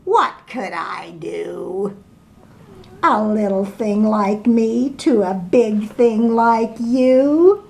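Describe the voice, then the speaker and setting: A woman's voice: mid-range voice, very slow, gravelly, a little mellow. A woman voicing a small, cheeky male mouse in a teasing way.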